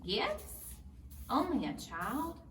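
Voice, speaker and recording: A woman's voice: high-pitched, sing-song, drawn-out words, a bit bright, heavily acted. A woman voicing a crotchety old peasant woman from a children's storybook, grumbling and muttering dismissively. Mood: muttering, wondering. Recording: roomy, distant mic, faint noise.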